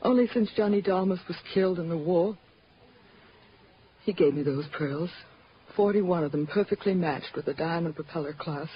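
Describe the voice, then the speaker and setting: A woman's voice: lowish voice, moderate pace, breathy edge. An actress playing a secretive, wistful married woman in 1940s radio drama, earnest and melodramatic. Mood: wistful, confessional. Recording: dull vintage radio recording.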